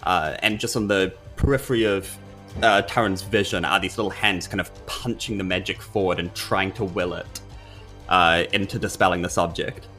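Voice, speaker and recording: A man's voice: low voice, steady tone, normal speed, pushed and bright. A man talking casually as a player in a tabletop role-playing game. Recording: music behind the voice. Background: background music.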